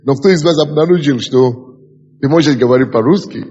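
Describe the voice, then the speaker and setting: A male pastor from Africa speaks, his voice slightly breathy and rough. He lectures in an exhorting way, repeating key phrases and asking his class questions. The recording sounds dull and lo-fi, with a long reverb trailing off through a large hall. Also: medium pitch, fairly quick, darkish tone. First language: an African language. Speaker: pastor teaching a ministry school.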